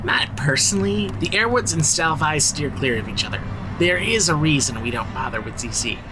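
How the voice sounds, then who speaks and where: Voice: medium pitch, animated, fairly quick, noisy background, natural voice. An actor playing a jaded, bossy, sardonic insider of a crime family in a modern audio drama. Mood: dry, bitter. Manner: sardonic, sweary, commanding.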